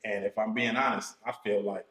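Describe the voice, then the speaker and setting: A man in his thirties, a podcast guest telling his own story in a slow, reflective, casual way, often checking in with "you know what I'm saying". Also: on the low side, little pitch movement, fairly bright tone. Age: 30s. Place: podcast studio room. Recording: roomy studio echo.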